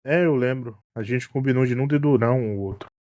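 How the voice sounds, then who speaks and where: A man playing a teasing, self-protective cruise captain in an animated mystery, colloquial and Brazilian-accented. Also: mid-range voice, quick pace, lo-fi sound, lightly acted. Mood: confessing. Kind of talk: animated murder-mystery dialogue.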